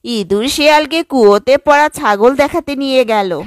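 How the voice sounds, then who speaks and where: A woman's voice: high voice, normal speed, no echo, studio quality. A woman narrating a scripted children's story as storyteller.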